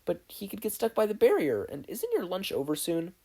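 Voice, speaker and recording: A narrator voicing an upset, gentle, self-doubting teenage boy who speaks hesitantly and stammers. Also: mid-range voice, wildly swooping pitch, brisk, husky, voice pushed up, exaggerated voice.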